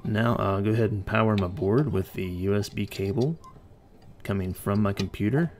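A man's voice: on the low side, moderate pace, darkish tone, slight background noise. A man presenting an informal hands-on tech tutorial, explaining plainly step by step.

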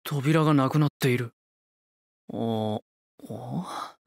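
A man's voice: medium pitch, slow, creaky, a little mellow, no echo, studio quality. An actor playing a bored, laid-back young man who mutters and grumbles in blunt, casual speech in an anime drama. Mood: puzzled, muttering.

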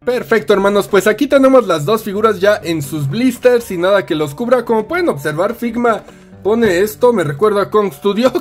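A man with a Mexican Spanish accent giving a candid, chatty unboxing review of collectible figures. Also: high voice. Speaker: toy reviewer.

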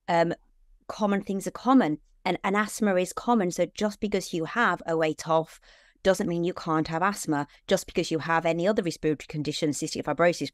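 A British woman in her forties, a children's lung doctor, explaining clearly and steadily on a video call from a room at home. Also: low-pitched, no echo, studio quality. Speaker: paediatric respiratory consultant. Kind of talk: answering questions in an online talk.

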